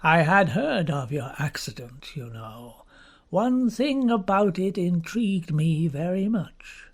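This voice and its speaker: A man voicing a slow, grave, sinister doctor. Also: fairly high pitch, sing-song, exaggerated voice. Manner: slow, deliberate, probing questions. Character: grave, sinister middle-aged male country doctor. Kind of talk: audiobook character reading.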